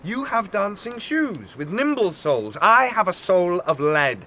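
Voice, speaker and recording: Mopey young shakespeare lover, high voice, very animated pitch, poetic. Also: male voice, measured pace, a little hiss or hum, heavily acted. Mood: lovesick gloom. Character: melancholy, lovesick young nobleman, brooding and poetic. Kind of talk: Shakespearean audio drama acting.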